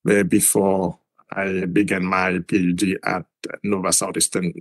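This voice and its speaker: Man, haitian accent, slow, lowish voice, dry close sound, halting speech. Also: level tone, no echo. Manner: halting, many repeated words. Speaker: guest. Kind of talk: interview answers.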